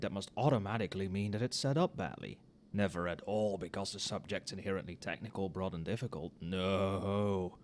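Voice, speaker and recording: A man playing an overworked magic professor in a casual, soft-spoken ASMR roleplay, muttering with dry sarcasm. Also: on the low side, a little hiss or hum, lightly acted. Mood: wry, exasperated. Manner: casual, muttering, dryly sardonic. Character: wry, overworked male magic professor grading papers late at night.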